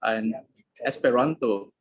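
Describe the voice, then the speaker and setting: Husky-voiced man, hesitant speech. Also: mid-range voice, slow pace. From Medan, Indonesia. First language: Medan Hokkien. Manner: hesitant, many "uh" fillers. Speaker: interviewee, polyglot. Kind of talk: casual interview answers.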